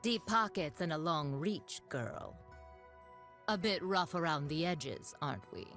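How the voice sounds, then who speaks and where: Cunning female sorceress, teasing, low voice, soft music behind. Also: expressive, a little slow, heavily acted. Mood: condescending. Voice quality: sly, teasing delivery.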